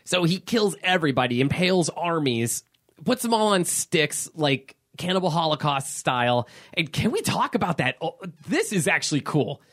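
A man's voice: fairly high pitch, sing-song, moderate pace, fairly bright tone. A man co-hosting a podcast, recapping a film in loose casual chat, rambling with fillers and laughs. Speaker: podcast co-host.

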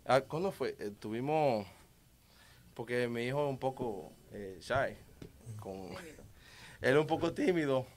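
A man's voice: medium pitch, lively intonation, slow, long pauses. A man from the Dominican Republic with a Dominican Spanish accent, speaking conversationally and emphatically about religion, repeating key words for stress.

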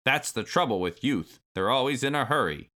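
Storybook role: man performs rough, scolding old granddad ape, bright and forceful, lifted pitch. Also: expressive, moderate pace. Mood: grumbling. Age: older. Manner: grumbling, exclamatory old-timer phrasing.

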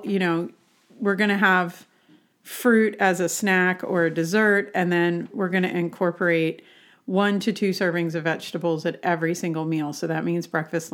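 Woman w a lowish voice, coach type talk, explains stuff casually, asks lots of rhetorical questions. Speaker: health and wellness coach.

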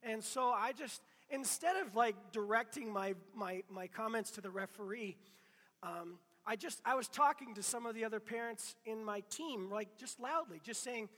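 Hoarse, bright-voiced preacher man. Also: high voice, moderate pace, long reverb tail. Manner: conversational, self-deprecating, many restarts. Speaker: pastor. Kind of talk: casual sermon.

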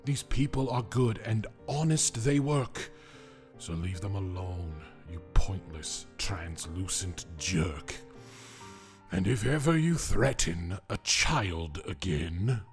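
A man voicing a gruff, blunt male hero who scolds a ghost. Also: sits low, animated, takes it slowly, soft background music, exaggerated voice. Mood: scolding.

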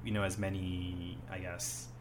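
A man's voice: sits low, flat delivery, a little slow, slight background noise. A young man hosting a casual chat, rambling with many "um"s and "you know"s. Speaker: host, English teacher.

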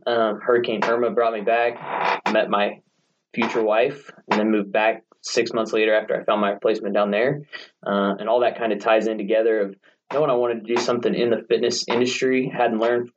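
A man in his late twenties with a low voice recounts his path into fitness, rambling easily with many "ums." The recording is dull and carries a faint hiss. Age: late 20s. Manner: relaxed, filler-heavy storytelling. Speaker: guest, gym owner. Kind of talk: casual interview storytelling. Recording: dull lo-fi sound with faint noise.

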